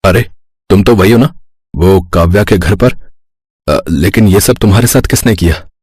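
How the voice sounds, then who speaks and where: A man's voice: sits low, moderate pace, darkish tone, slightly rough, no room sound, studio quality. A man playing an earnest, kindly, reassuring helper in a folk-tale audio story. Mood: surprised, concerned. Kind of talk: acted dialogue in an audio story.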